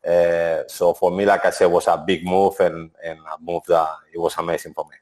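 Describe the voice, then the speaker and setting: Deep-voiced man, English speaker. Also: flat delivery, normal speed, a little mellow. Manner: non-native English, frequent "to be honest" and restarts. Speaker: interview guest, former footballer. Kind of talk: relaxed interview answers.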